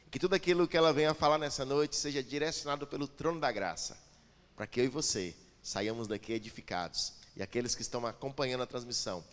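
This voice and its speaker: A man's voice: medium pitch, fairly bright tone, big-room sound. Fervent male pastor leading prayer. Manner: fervent, punctuating the prayer with repeated "Father" and "God". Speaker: pastor introducing the preacher. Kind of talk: sermon introduction and prayer. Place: church sanctuary with a PA.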